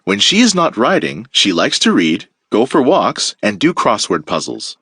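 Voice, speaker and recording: A man teacher reading a children's picture book aloud with playful, expressive storytelling. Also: lowish voice, moderate pace, breathy edge, no room sound, clean studio sound.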